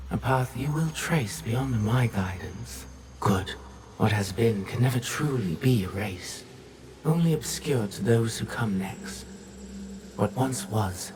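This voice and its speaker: A man playing an enigmatic, soothing guide of a memory simulation in a video game, speaking in a measured, solemn way. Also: medium pitch, expressive, a little mellow, slight background noise. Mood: curious, cryptic. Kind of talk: scripted video game narration. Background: music.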